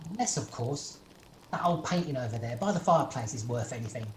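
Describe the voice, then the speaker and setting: A man's voice: medium pitch, expressive, fairly quick, natural voice. A man acts out a brash, uncouth scoundrel in a radio play. His middling voice is smug and scheming, recorded in an echoey room with faint noise. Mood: resigned, sly. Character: uncouth, unkempt, scheming thieving husband. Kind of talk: amateur radio play acting. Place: at home, recorded over video call.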